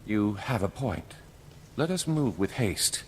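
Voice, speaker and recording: An actor playing the suave, commanding head of a noble house in a video game, formal and measured. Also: male voice, fairly low pitch, big pitch swings, slight background noise. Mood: composed, businesslike. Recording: background music under the voice.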